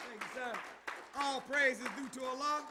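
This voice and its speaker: A man delivers a sermon in a very high voice. He calls to his listeners and works them into responses, climbing to loud shouted passages. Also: normal speed, a crowd in the background, background noise. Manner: call-and-response preaching, rising to shouts. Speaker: minister.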